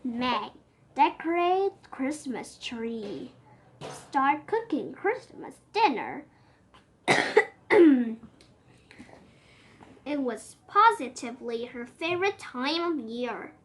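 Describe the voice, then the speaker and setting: A high, youthful voice reading a picture book aloud expressively, with playful exclamations and chatty asides. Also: big pitch swings, very slow, long pauses, fairly bright tone. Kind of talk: animated read-aloud storytelling with chatty asides.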